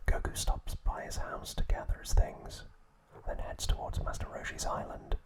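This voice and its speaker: A man narrating a recap as an ASMR host in a soft, unvoiced whisper, in short deliberate phrases.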